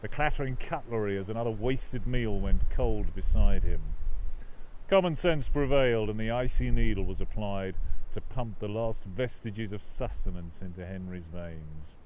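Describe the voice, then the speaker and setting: A man reading his own novel aloud as scripted audiobook narration, with a dramatic, expressive literary delivery. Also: fairly low pitch, moderate pace, slight background noise, rough recording.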